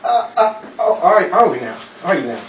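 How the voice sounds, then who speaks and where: A man's voice: high-pitched, big pitch swings, fairly quick, highs cut off. A man chatting casually during a rehearsal for a radio-style show. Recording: noisy echoey room, distant mic.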